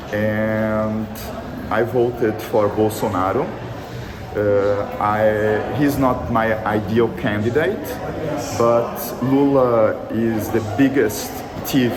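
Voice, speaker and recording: A man from southern Brazil with a Brazilian Portuguese accent, answering a street interview in English, hesitant and earnest, with many fillers and restarts. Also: lowish voice. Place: outdoor polling site in a busy city crowd. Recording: echoey street with loud crowd.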